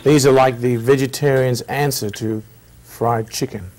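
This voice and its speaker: A man's voice: mid-range voice, steady tone, measured pace, darkish tone. A man hosting a cooking show, talking the viewer step by step through a recipe. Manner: friendly step-by-step instructions. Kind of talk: cooking demonstration.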